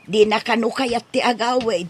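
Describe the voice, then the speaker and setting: Woman playing a husky, outspoken Filipino older housemaid. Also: on the high side, normal speed, no room sound. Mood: earnest, explaining. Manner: exclaiming, emphatic. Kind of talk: audio drama acting.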